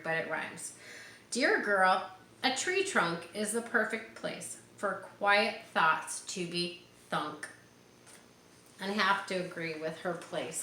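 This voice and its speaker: A woman reads a children's picture book aloud at a slow, unhurried pace. She stretches key words expressively and adds encouraging, chatty explanations for young listeners. The room echoes around her voice, with a little background noise. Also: medium pitch, pushed and bright. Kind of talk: read-aloud storytelling with chatty asides. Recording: roomy echo with background noise.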